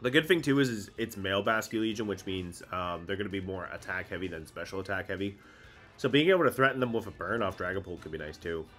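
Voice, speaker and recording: A congested man with a cold talks through his game team in a fairly low voice. He explains casually, pausing with ums as he thinks. Soft music plays faintly behind him. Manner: chatty, explains strategy, frequent ums. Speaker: Pokemon VGC content creator.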